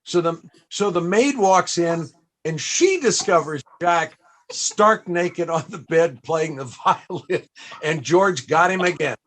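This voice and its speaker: A man's voice: fairly high pitch, expressive, takes it slowly, a bit bright. A man hosting a panel as interviewer, speaking conversationally and telling stories.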